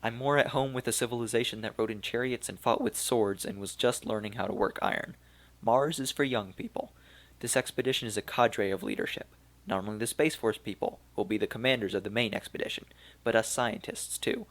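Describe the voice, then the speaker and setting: A man voicing an elderly, weary archaeologist of Turco-German origin, speaking slowly and regretfully in a gravelly voice. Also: on the low side, close to an everyday voice. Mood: resigned, fatherly. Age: older.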